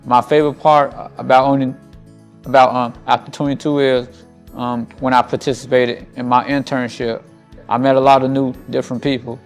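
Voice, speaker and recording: A man's voice: medium pitch, level tone, moderate pace. A young man in his twenties giving a simple, earnest student testimonial. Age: 20s. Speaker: program student. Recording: soft music underneath.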